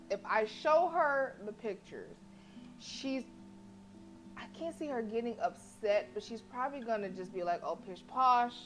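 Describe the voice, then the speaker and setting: A woman's voice: high-pitched, lo-fi sound. A woman game streamer reading story narration aloud and reacting chattily and expressively on a livestream.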